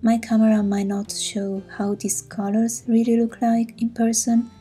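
A Swiss woman with an Italian accent, a native Italian speaker, calmly explaining an art supply review in a voice-over. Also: a little high, husky. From Switzerland. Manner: calm, explanatory, a little hesitant. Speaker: art channel host.

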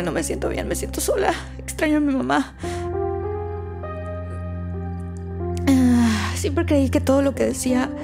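A woman's voice: on the high side, vocal fry. A woman playing an anxious, defensive daughter in a naturalistic audio drama, with a Mexican accent and a colloquial, hesitant, emotional delivery. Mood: distraught, tearful. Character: anxious, defensive daughter unravelling family secrets.

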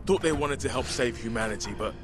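A man's voice: mid-range voice, fast, music in the background, natural voice. A man playing a cocky, theatrical survivor hero in a video game, with a wry, dramatic delivery. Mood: wry swagger. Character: cocky, theatrical male zombie-apocalypse survivor turned hero.

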